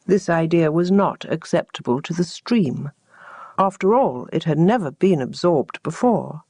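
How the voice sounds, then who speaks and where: A woman narrating a traditional teaching tale as a storyteller, with measured, deliberate phrasing. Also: deep voice, expressive, warm tone, slightly rough, no echo.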